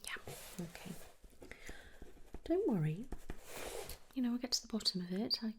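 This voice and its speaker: A woman's voice: fairly high pitch, fairly quick, fairly bright tone. A woman whispering soothingly and reassuringly as a nurse in a one-sided ASMR roleplay.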